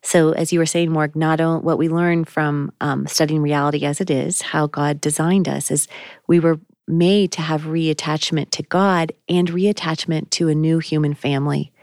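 Low-voiced woman musing on faith. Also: steady tone, normal speed, darkish tone, dry recording, studio quality. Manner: thoughtful, searching, with frequent ums. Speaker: podcast co-host. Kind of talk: reflective faith conversation.

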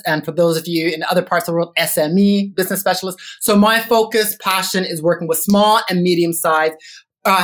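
A middle-aged Canadian man being interviewed on a podcast, talking in a measured way with frequent ums and restarts. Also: sits high, a bit bright. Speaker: podcast guest, business consultant. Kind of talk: casual interview chat.

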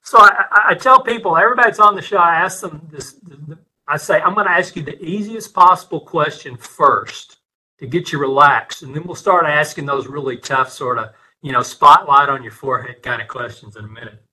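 A man's voice: on the high side, brisk, pushed and bright, breathy edge, low-quality recording. A man with an East Texas accent, guest-hosting a casual podcast interview over a video call and often restarting sentences as he talks. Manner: friendly, repeats words when starting sentences.

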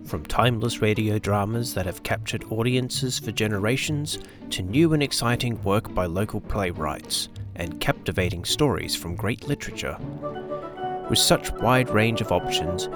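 A man with a fairly low voice presents a radio show over prominent music. He reads a scripted introduction in a polished, promotional way, as the host. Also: animated, moderate pace. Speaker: host and narrator.

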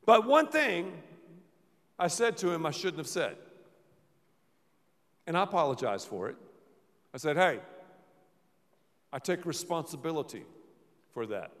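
A man preaching a sermon as pastor, playful and dramatic, often dropping to a low murmur. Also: big pitch swings, measured pace, pauses often, fairly bright tone. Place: large church hall. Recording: reverberant church hall.

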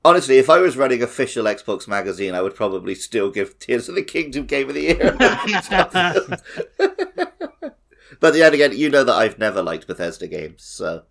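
A man co-hosting a gaming podcast, giving his opinions in an animated, casual way. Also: mid-range voice, normal speed, vocal fry. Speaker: podcast co-host. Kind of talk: casual opinionated game chat.

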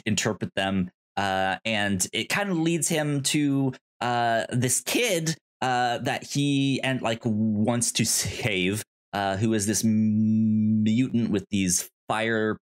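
A man with a fairly low voice hosts a casual film podcast. He speaks very slowly and haltingly, often stammering over the start of a word before getting through it. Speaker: podcast host. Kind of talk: casual movie discussion recap.

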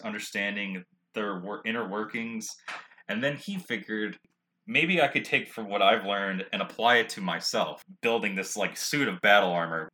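Low, bright-voiced male tabletop gamer. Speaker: tabletop game player. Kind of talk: casual explaining of his character and moves.

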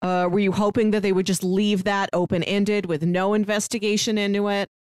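A woman's voice: mid-range voice, little pitch movement, brisk, clean tone, dry recording. Chatty woman podcast host, dry sound. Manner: chatty, asks questions, then recaps at length. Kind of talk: casual chat recapping a show.

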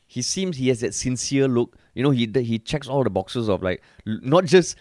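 Young Singaporean man, podcast co-host, mid-pitched voice, dry sound, blunt and animated with casual swearing. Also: moderate pace, no echo. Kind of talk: casual chat.

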